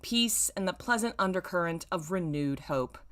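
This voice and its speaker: A woman in her thirties with a low-pitched voice reads fiction aloud on a stream. She leans theatrically on the descriptive words, then drops into wry, self-mocking commentary. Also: lively intonation, normal speed. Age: 30s. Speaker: streamer reading own old fan fiction. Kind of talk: live story reading with wry asides.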